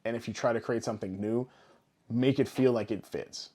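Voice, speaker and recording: A man co-hosting a podcast in casual, animated chat, swearing freely. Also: mid-range voice, brisk. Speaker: podcast co-host. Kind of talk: casual chat about games and films.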